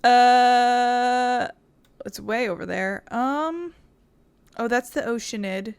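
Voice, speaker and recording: A woman's voice: on the high side, slow pace, smooth. A woman giving casual, rambling game commentary, talking to herself and swearing a little. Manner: self-talking, mildly sweary. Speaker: gaming video host.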